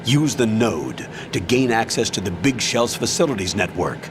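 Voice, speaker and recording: A man playing a commanding older army colonel in a video game, giving measured, authoritative mission briefings. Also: on the low side, expressive, noisy background, natural voice. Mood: businesslike.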